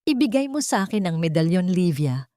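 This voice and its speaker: A woman's voice: lowish voice, sing-song, brisk, dark tone, no echo, studio quality. A woman voicing a cold male henchman in an audio story, threatening and commanding. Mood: cold menace. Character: cold, menacing male henchman cornering his victim.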